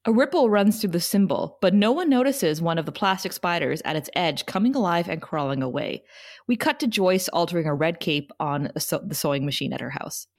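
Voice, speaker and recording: Woman narrating stage directions, lively pitch. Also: brisk. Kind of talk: reading stage directions at a table read.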